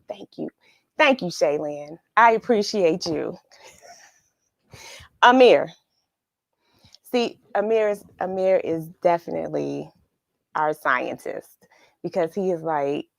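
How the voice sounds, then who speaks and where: A woman's voice: on the low side, lively intonation, takes it slowly, creaky, no echo, studio quality. A woman hosting a children's read-along, chatting casually and expressively to young viewers and musing aloud as she addresses them. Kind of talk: casual chat to young viewers after a story reading. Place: at home.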